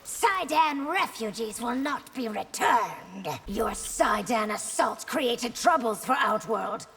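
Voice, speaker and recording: A woman playing a proud, stern young empress in a fighting game, speaking in a formal, measured way. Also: fairly high pitch, lively intonation, a bit bright, in a large hall, faint music behind, full character voice. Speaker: video game character. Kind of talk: dramatic fighting game dialogue.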